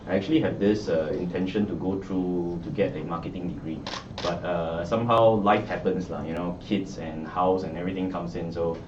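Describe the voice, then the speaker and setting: A man's voice: low-pitched, steady tone, fairly quick, hardly any pauses. A middle-aged man with a Singaporean accent, an election candidate answering press questions hesitantly with many restarts. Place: party office media room. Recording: echoey room, far mic, dull noisy sound.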